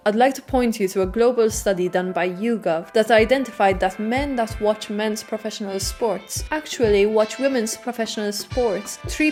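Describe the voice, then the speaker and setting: A woman's voice: a little high, darkish tone. A woman reading scripted video essay narration, addressing the listener directly with a wry manner. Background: clear background music.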